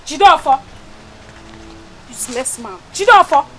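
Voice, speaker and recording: A woman's voice: high-pitched, lively intonation, measured pace, pushed and bright, heavily acted, low-quality recording. A woman playing a wailing widow. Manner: shrieking, sobbing, calling out repeatedly. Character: distraught wailing village wife, later humbled. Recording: music bed behind.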